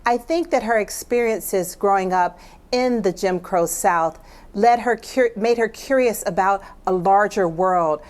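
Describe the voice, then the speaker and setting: A woman's voice: medium pitch, measured pace, darkish tone. American woman, thoughtful interview guest. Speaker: writer and guest commentator. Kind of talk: thoughtful broadcast interview discussion.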